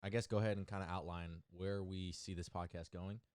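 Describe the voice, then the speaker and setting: A young man from Florida hosts a relaxed podcast among friends, joking as he steers the talk. His voice sits very low, and the recording is dry and close with no room sound. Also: a little fast, no echo. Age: 20s. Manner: loose and joking, leads the round of introductions. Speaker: podcast host. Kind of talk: casual chat.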